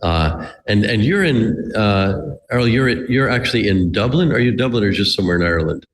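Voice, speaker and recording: A man hosting an interview in casual talk, halting and restarting with repeated words. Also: on the low side, expressive, long reverb tail, a little hiss or hum. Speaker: host.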